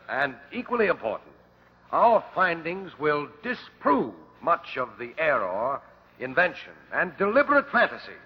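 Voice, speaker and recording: An actor playing a pompous, impatient archaeology professor, curt and dismissive, in an old-time radio drama. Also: male voice, medium pitch. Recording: muffled, noisy old radio recording.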